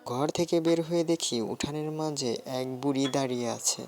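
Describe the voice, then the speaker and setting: A woman's voice: very deep voice, moderate pace, background noise. A woman narrating a scripted first-person horror story as its storyteller.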